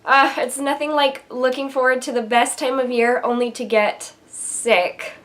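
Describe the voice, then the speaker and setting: A young woman with a slightly high voice talks straight into a close camera mic from her bedroom vanity. A head cold makes her sound stuffy and nasal. She chats eagerly and loosely, with frequent ums and restarts. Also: fairly even intonation, unhurried, a bit bright. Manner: chatty and enthusiastic, many ums. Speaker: beauty vlogger. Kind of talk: casual product review to camera. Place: bedroom with vanity and shelves, indoors.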